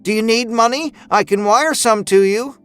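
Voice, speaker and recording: A man's voice: very high-pitched, moderate pace, fairly bright tone, clear, resonant tone, faint music behind, pitched above the performer's own voice. A man voicing an anxious, grieving older mother in a horror audio story, pleading and fretful. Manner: pleading, fretful, self-effacing.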